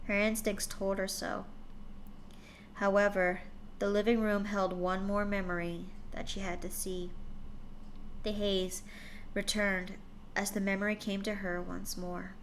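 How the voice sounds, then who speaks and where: A woman's voice: mid-range voice, little pitch movement, slight background noise, poor audio. A woman streamer reading fanfiction aloud and talking to her chat. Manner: slow, deliberate, talks to chat. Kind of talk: reading a story aloud on a livestream.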